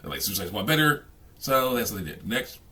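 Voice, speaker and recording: A man giving casual, off-the-cuff reaction commentary on a game, talking in a halting, rambling way with frequent hums and chuckles. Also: fairly low pitch, sing-song.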